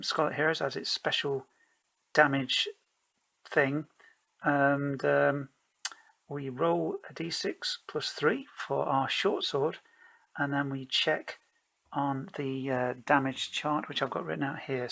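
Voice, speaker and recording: A man's voice: fairly high pitch, gravelly, pushed and bright, slightly rough. A man narrating his own solo tabletop role-playing game in a casual, rambling way, self-deprecating and playfully theatrical.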